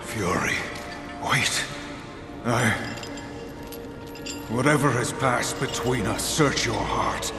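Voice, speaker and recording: A man's voice: medium pitch, slow pace, long pauses. A man playing a grave, wronged warrior in a video game, speaking with earnest, portentous urgency. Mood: urgent pleading. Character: grave, wronged warrior horseman pleading his innocence. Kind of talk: video game cutscene acting. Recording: echoing hall, loud music.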